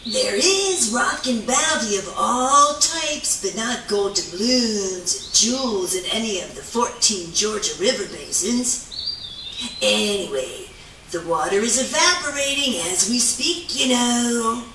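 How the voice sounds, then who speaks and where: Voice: mid-range voice, expressive, takes it slowly, pushed and bright, exaggerated voice. A performer voicing a laid-back, philosophical surfer-dude animal in a children's puppet show, drawling slang and easily awed. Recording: echoey room, distant mic, faint noise.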